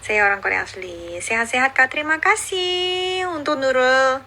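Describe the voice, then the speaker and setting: A woman in her thirties who grew up in Indonesia, chatting casually on a phone livestream and answering viewer comments, cheerful and quick to laugh. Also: high-pitched, sharp tone, a little hiss or hum. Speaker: livestreaming YouTuber. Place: outdoors or at home in Seoul.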